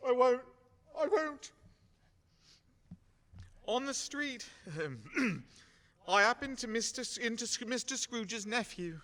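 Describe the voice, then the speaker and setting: Radio play dad played by a man, grieving yet gentle, bright earnest delivery, long reverb. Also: very high-pitched, expressive, full character voice. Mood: grief-stricken. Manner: tender, halting, earnest. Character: gentle, grieving, loving working-class father.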